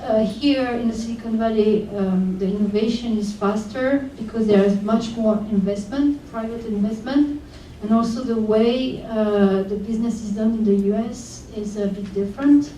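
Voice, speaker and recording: A woman's voice: fairly high pitch, fairly even intonation, normal speed, hardly any pauses, mellow, a little hiss or hum. Woman from France, hesitant, distant echo. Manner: hesitant, many fillers. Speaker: panelist. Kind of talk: panel discussion. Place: conference room. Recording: echoey room, voice from far off.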